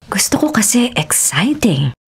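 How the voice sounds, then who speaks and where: An actress playing a sassy, easily spooked young woman travel vlogger with a Manila Filipino accent in an expressive radio drama style. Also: mid-range voice, unhurried, husky, exaggerated voice. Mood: uneasy, earnest. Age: young adult. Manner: expressive, sarcastic, mixes in English slang. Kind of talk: Tagalog radio drama acting with inner narration. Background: music.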